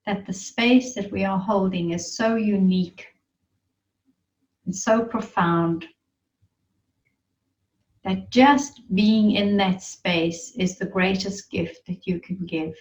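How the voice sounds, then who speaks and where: A woman leading a guided meditation in a soothing, reverent manner, leaving long pauses between phrases. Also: medium pitch. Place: online group gathering. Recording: muffled, roomy, distant online-call sound.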